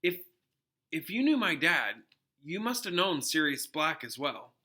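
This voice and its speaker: A man voicing a teenage wizard boy, earnest and shaken but determined, with a halting manner, in a storybook reading. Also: fairly high pitch, very animated, bright, forceful tone, full character voice. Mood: hesitant, curious. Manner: halting, stammering when upset.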